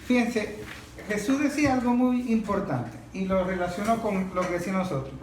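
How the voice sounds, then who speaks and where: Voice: mid-range voice, normal speed. The speaker has a Venezuelan accent and leads a political discussion with emphatic, didactic delivery, repeating phrases to drive points home. The recording is heavily reverberant, with faint noise underneath. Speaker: discussion leader. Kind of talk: impassioned political talk to a group. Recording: very echoey room, faint noise.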